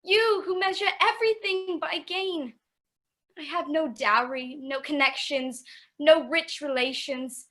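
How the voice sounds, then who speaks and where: A woman's voice: sits high, pushed and bright. A woman in a radio play playing a poor young fiancée who speaks with sorrowful, earnest resolve. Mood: sad, firm. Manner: earnest, measured, plaintive.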